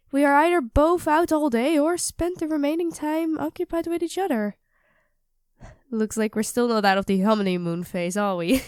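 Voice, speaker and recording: Woman acting as high-voiced, affectionate, teasing girlfriend. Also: dry recording, clean studio sound. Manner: playful, doting, teasing, expressive swings. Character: affectionate, teasing young live-in girlfriend cooking dinner. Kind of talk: ASMR roleplay, audio drama spoken to the listener as partner.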